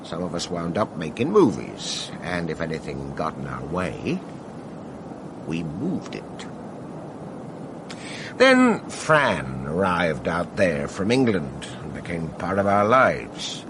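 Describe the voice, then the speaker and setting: An actor playing a hard-nosed, middle-aged American Hollywood veteran in a radio drama, wry and guarded, with a laconic, folksy drawl. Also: male voice, low-pitched, very animated, slow pace, heavily acted. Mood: reflective. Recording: noisy archive radio broadcast with ambience.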